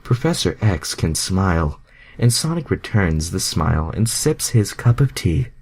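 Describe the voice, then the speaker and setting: A man's voice: low voice, big pitch swings, a little slow, husky. A man reading fan fiction aloud in an amateur, mocking way, crude and self-deprecating, breaking off to comment.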